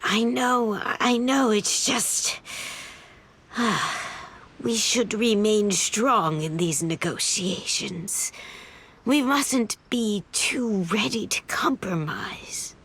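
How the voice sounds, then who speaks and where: Grave, slow-spoken female veteran. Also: mid-range voice, fairly bright tone, slightly rough, full character voice. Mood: reluctant, insistent. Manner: earnest, grave, deliberate.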